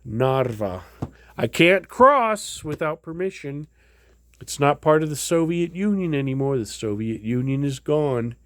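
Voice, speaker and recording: A man's voice: fairly high pitch, expressive, unhurried, fairly bright tone, no echo, clean studio sound. A man casually showing items in a home vlog, rambling with many fillers and restarts. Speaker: vlogger. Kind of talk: casual show-and-tell vlog.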